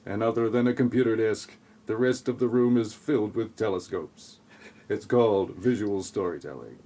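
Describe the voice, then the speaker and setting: A man narrating a scripted audio play in a tongue-in-cheek, deadpan way with sarcastic asides. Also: on the low side, normal speed.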